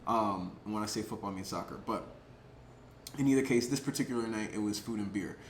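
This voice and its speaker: A man telling a personal story casually to camera, chatty and animated, with lots of filler words. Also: fairly low pitch, fast. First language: English. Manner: chatty, lots of "like" and "um" fillers, laughs between thoughts; animated, gets louder at exciting moments. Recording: roomy echo, faint background noise.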